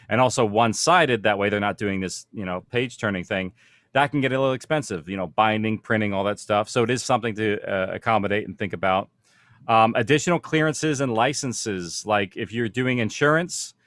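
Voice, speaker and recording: A man's voice: mid-range voice, a bit bright, dry recording, clean studio sound. A man speaking as a producer on a panel, explaining practical matters informally and conversationally, thinking aloud as he goes.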